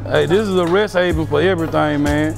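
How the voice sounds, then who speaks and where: A man with a high, gravelly voice chats in an emphatic, chummy way. Music is clearly audible behind him. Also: fairly even intonation, brisk. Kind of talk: casual interview chat.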